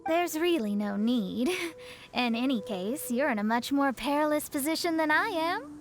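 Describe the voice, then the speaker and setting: A woman's voice: sits high, lively intonation, moderate pace. A woman playing a proud, self-assured young female astrologer in a video game, confident and slightly haughty. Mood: proud, dismissive. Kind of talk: video game cutscene voice acting.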